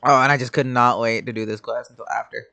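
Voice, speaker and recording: A man's voice: mid-range voice, steady tone, fast talker, gravelly, sharp tone. A man casually commenting on a video game he is playing, with informal, offhand reactions.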